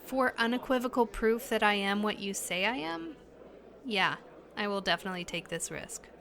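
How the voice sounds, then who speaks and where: A woman's voice: medium pitch, animated, normal speed, vocal fry, fairly bright tone, a little hiss or hum. An actress playing an uneasy but determined young woman in an audio drama, speaking in a hesitant, earnest, questioning way. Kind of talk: naturalistic audio drama dialogue.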